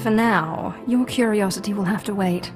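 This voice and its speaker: A woman's voice: mid-range voice, normal speed, over loud music, close to an everyday voice. An actress playing a confident, secretive female Sith in a video game, terse, guarded and sly. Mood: cool, teasing. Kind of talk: video game cutscene acting.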